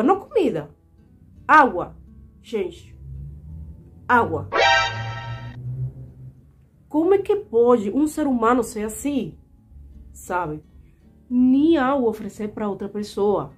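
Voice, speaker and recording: A woman in her twenties from Cuba, speaking with a Cuban Spanish accent, telling personal stories earnestly and indignantly to her viewers. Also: on the high side. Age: 20s. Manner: earnest, indignant, repeatedly addressing viewers as "gente". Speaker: vlogger. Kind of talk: personal storytelling to camera.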